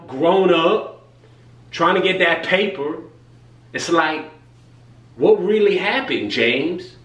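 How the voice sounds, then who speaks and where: A man's voice: on the high side, fairly bright tone. A young man playing a delusional, self-styled tough hustler, talking in street slang with self-importance. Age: young adult. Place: small home kitchen. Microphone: camera a few feet away. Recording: roomy, distant camera sound, faint hum.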